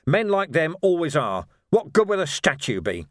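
Man acting a gruff, impassioned policeman, pitch raised. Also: sing-song, moderate pace, a bit bright, no room sound, clean studio sound. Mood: outraged. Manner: emphatic, colloquial, working-class phrasing. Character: gruff, righteous middle-aged policeman, furious but lawful. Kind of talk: dramatic audiobook character dialogue.

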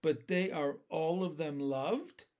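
A man's voice: high voice, expressive, unhurried, voice pushed up. A man voicing a polite, curious, kindly young boy with an earnest, wondering manner in a storybook reading.

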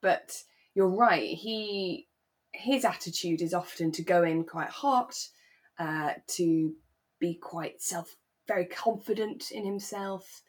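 A woman co-hosting a podcast in a relaxed, conversational way, thinking aloud and seeking agreement. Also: on the low side, slow pace, slightly rough. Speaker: podcast co-host. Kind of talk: relaxed chat discussing a radio drama.

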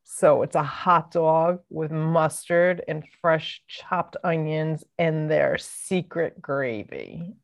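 A woman's voice: deep voice, takes it slowly, vocal fry, hardly any pauses. A woman from New Jersey chatting casually as co-host, easygoing and enthusiastic about local food. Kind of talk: casual chat.

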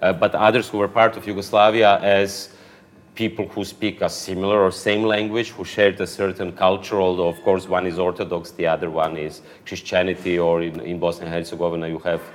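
A low-voiced man with a Croatian accent answers interview questions in English, his second language. He talks at length, stumbling through frequent "uh" fillers and self-corrections. His voice carries a long reverb tail. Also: flat delivery, fairly quick. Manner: hesitant, frequent "uh" fillers and restarts. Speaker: interview guest, political activist.

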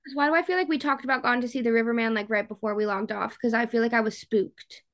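A woman's voice: a little high, fairly even intonation, fast talker, a bit bright. A woman co-hosting a podcast, chatting casually about books in an informal, slangy way. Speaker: podcast co-host. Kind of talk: casual book chat.

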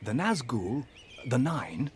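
An actor playing an anxious, quaint wizard bearing news, urgent and declamatory, with a British accent, in a radio drama. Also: male voice, mid-range voice, sing-song, takes it slowly, darkish tone, a little hiss or hum. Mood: urgent, ominous.

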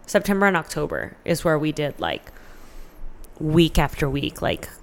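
A woman's voice: deep voice, sing-song, moderate pace. An American woman chatting casually as an interview guest, candid and informal, swearing freely as she tells personal stories.

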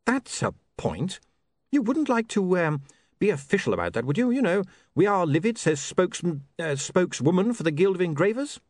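A man's voice: sits high, sing-song, moderate pace, darkish tone, no room sound, pitched above the performer's own voice. A man voicing a flustered, guilty young newspaper man with a hesitant, apologetic manner in an audiobook. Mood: desperate, pleading.

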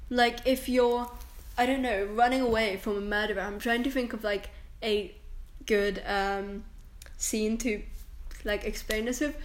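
A woman's voice: medium pitch, reverberant, poor audio. A young woman hosting her own podcast, ranting casually in a strong, confident voice, full of likes and restarts. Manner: rambling, chatty, self-interrupting with likes and restarts. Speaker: podcast host. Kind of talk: casual solo rant. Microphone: camera and microphone, recording herself.

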